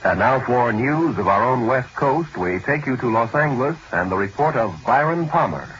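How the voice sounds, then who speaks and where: A man announcing news on 1940s radio in a formal, measured delivery. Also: medium pitch, animated, a bit bright. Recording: muffled, noisy 1940s shortwave-era radio recording.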